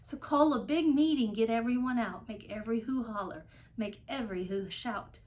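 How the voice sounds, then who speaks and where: A woman's voice: a little high, normal speed, darkish tone, natural voice. A woman voicing a kindly, earnest male elephant in a storybook, urgent and imploring. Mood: urgent pleading.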